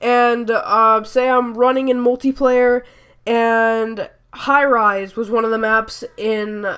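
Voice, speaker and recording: A woman's voice: a little high, little pitch movement, slow pace, a bit bright, clean tone. A young woman with a stuffy nose and sore throat, rambling through a chatty gaming voice-over full of fillers and self-corrections. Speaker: gaming YouTuber. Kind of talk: casual voice-over commentary.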